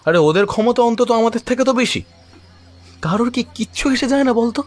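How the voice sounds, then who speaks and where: A man's voice: medium pitch, normal speed, husky, soft background music, natural voice. An actor playing a frustrated, defiant young neighbourhood man with a heated, colloquial manner in an audio drama. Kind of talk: Bengali audio drama acting.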